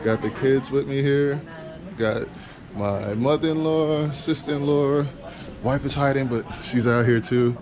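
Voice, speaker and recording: Relaxed Trinidad-born man vlogging. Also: medium pitch, measured pace, crowd noise. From Trinidad, Caribbean. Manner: relaxed, chatty, talks straight to the camera. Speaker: car vlogger. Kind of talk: casual travel vlog.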